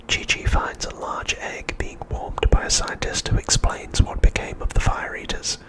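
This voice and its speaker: A man speaks entirely in a breathy whisper, with no voiced tone at all. He narrates like an ASMR host recapping a story, keeping everything soft, hushed and unhurried. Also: low-quality recording.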